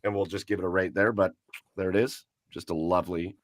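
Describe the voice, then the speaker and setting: A man's voice: sits low, steady tone, brisk. A man hosting a casual live podcast, chatting and reading comments in an upbeat, announcer-like way. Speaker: podcast host. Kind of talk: casual livestream chat.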